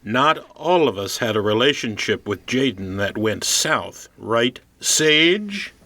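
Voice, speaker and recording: An actor playing an impatient, self-important radio news reporter in an audio drama, speaking in a precise, pedantic way. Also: male voice, medium pitch, big pitch swings, takes it slowly, sharp tone, dry recording, exaggerated voice. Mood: accusing, pedantic. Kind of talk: audio theater acting.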